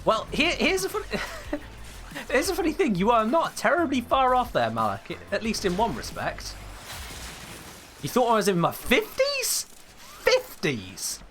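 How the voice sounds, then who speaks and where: A man's voice: very high voice, wildly swooping pitch, a little slow, long pauses, a bit bright, a little hiss or hum. A man in his twenties with an English accent, chatting casually while gaming live and reading out viewer messages with playful mock indignation. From England. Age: 20s. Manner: playful, mock-indignant, reads chat aloud. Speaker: game streamer.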